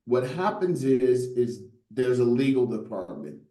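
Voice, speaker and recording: Animated storytelling man, mellow tone. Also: mid-range voice, a little slow, echoey room, rough recording. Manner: animated storyteller, rhetorical "right?" and asides. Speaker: guest, brand designer. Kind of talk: casual interview chat.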